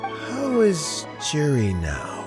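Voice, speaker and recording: An actor voicing an aging, dignified statesman in a video game, modest, weary and reflective, with a measured, grave delivery. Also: a little high, wildly swooping pitch, over music, exaggerated voice. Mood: reflective, regretful. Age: older. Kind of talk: animated video game voice acting.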